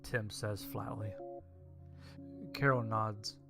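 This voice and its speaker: A man's voice: fairly low pitch, level tone, slow, creaky, a bit bright. A man reading a scripted story as its narrator. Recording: music behind the voice. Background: music.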